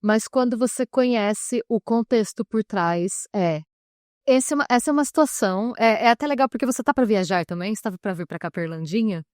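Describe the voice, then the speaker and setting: A woman co-hosting a podcast, chatting and setting up a story in a relaxed way, with frequent asides. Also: on the high side, a little fast, no echo, clean studio sound. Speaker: podcast co-host. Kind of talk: casual storytelling chat.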